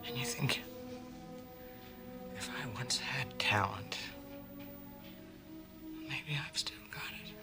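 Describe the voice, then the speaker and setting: An American actress playing a middle-aged showbiz star, volatile, wounded and proud, in dramatic screen style. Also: female voice, a little high, lightly acted. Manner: theatrical, emphatic, emotional. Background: music.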